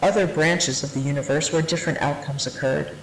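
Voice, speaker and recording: A formal instructor voiced by an actor briefs new personnel in an analog horror training tape. The delivery is deliberate and matter-of-fact, with a quietly ominous weight and a mid-pitched voice. It sounds like a nineties VHS recording, with clear room echo and a light layer of noise. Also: fairly even intonation, close to an everyday voice. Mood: grave, explanatory. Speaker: narrator of a classified orientation briefing. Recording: roomy, noisy 1990s VHS-style tape sound.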